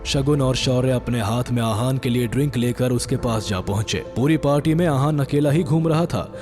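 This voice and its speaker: A man's voice: medium pitch, moderate pace. A man narrating a scripted audio drama story, with music playing behind him. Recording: loud music bed behind voice.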